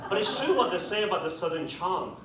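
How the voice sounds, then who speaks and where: A young man with a British accent works a stand-up set, dropping dry, self-deprecating punchlines. His voice sits a little high. It is caught from a distance in a very echoey club, with the crowd's noise clear behind him. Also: sing-song, fast talker. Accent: British English. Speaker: stand-up comedian. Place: comedy club with a live audience. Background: audience laughter.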